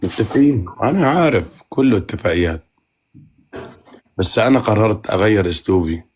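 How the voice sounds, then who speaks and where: A man's voice: fairly low pitch, lively intonation, a little fast, a little mellow, breathy edge. A man hosting a casual live chat in banter, teasing, bargaining and often repeating himself. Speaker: live stream host.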